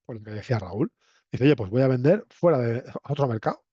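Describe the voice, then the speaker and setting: A man's voice: medium pitch, animated, quick pace, mellow, slightly rough. A man from Spain with a Castilian accent, lecturing to students in a conversational, didactic way, often checking in with short tag questions. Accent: Castilian Spanish. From Spain, La Mancha. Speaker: university lecturer. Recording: roomy echo, dull lo-fi sound.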